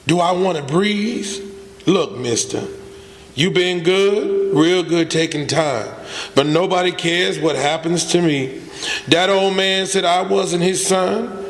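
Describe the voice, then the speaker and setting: Male actor as young prisoner, high voice, slow, uneducated speech, resigned, bitter, radio play, echoey hall. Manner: plain uneducated speech with double negatives. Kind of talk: old-time radio drama acting. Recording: echoey hall stage recording.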